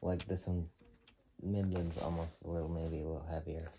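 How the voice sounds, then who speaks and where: Low-pitched, laconic man reviewing casually. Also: little pitch movement, moderate pace, warm tone, slight background noise, low-quality recording. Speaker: co-host of a product review channel. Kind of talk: casual unscripted product review chat.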